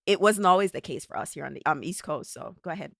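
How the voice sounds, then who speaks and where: A woman's voice: fairly low pitch, lively intonation, fast talker, creaky, fairly bright tone, no echo, studio quality. A woman hosting a podcast interview, chatty and thinking aloud as she talks. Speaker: podcast host.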